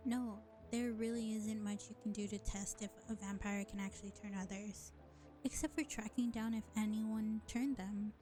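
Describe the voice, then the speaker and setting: A woman playing a sly, self-serving vampire bar owner in an audio roleplay, speaking in a sardonic, condescending drawl with deliberate pauses. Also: mid-range voice, fairly even intonation, mellow, soft background music, natural voice. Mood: serious, pondering. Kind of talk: scripted audio roleplay acting addressed to listener.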